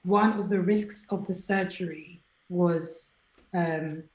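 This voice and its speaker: A woman's voice: fairly low pitch, slow pace, echoey room, poor audio. A woman as an interview guest, talking candidly and animatedly, sometimes acting out what other people said to her. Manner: chatty, animated, acts out quoted people.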